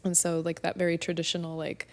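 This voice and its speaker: A woman guest on a podcast, born and raised in San Diego, whose first language was Spanish. Her voice sits a little low and carries a lot of vocal fry. She talks in a relaxed, reflective way, opening up about her life. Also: fast. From San Diego, California. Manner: reflective, open storytelling. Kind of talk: casual conversation.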